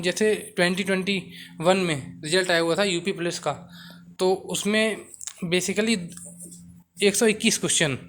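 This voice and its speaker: High-voiced man explaining. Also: a bit bright, slight background noise. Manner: mixes English words into talk, repeats assurances. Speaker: exam coaching YouTuber. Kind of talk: informal explainer talk to viewers.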